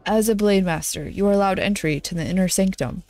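A congested, nasal-sounding woman voicing a gruff male clan blademaster in a video game, reading his lines in a lowered, measured way. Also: dark tone, soft background music, natural voice. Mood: formal.

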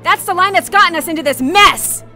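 Woman playing a very high exasperated theater girl. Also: a little fast, pushed and bright, faint music behind, full character voice. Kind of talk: radio play acting.

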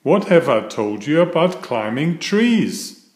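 A man's voice: fairly high pitch, big pitch swings, slow pace, a little mellow, echoey room, voice pushed up. A man voicing a fond, playfully scolding female hamster vet from a storybook. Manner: playfully chiding.

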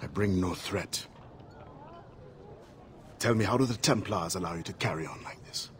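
A man's voice: low voice, normal speed, slight background noise, lightly acted. A man playing a stern, formerly enslaved assassin and sea captain in a video game, speaking in a grave, formal way. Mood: cold, guarded. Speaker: video game character actor. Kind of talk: dramatic cinematic game acting.

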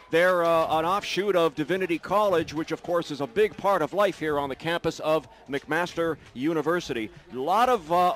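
A man hosting a radio pregame show, in a brisk, upbeat broadcaster delivery. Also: high voice, a bit bright. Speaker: radio sportscaster. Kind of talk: pregame play-by-play commentary.

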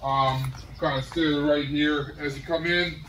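A middle-aged man casually showing off his garage gym, talking in a relaxed, rambling way with many pauses. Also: fairly high pitch, far from the mic, slight background noise, low-quality recording. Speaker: home gym owner giving a tour. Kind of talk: casual walk-through tour. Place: large garage home gym.

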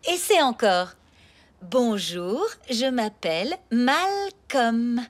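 A woman voicing a gentle mother introducing her son to a guest. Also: a little high, very animated, very slow, exaggerated voice. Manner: gentle, coaxing.

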